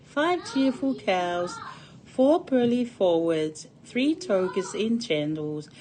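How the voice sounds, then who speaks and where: A woman's voice: mid-range voice, lively intonation, slow, a little mellow, slight background noise, low-quality recording. A woman reading a picture book aloud to children in a sing-song storybook cadence. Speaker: storytime reader.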